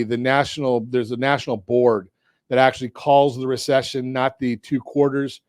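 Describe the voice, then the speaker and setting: Male radio host, higher pitch, dry close studio sound, energetic and jokey. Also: no echo. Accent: Southern Californian. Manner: energetic, jokey, asks leading questions. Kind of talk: talk radio with a sponsor read. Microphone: studio mic.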